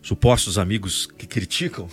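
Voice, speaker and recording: Brazilian guy from sao paulo state chatting on a podcast, super lively intonation, emphatic, bit of music there. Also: fairly low pitch, normal speed. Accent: Brazilian, São Paulo state. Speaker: podcast host, voice-over announcer and actor. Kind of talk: casual podcast conversation.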